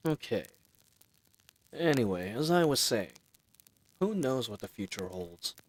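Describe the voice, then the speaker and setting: A man's voice: medium pitch, sing-song, a little slow, poor audio. A man playing a friendly, roguish bandit leader in a fantasy audio roleplay, talking in a casual, teasing, chatty way. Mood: cheerful, reassuring. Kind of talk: fantasy audio roleplay acting, speaking intimately to listener.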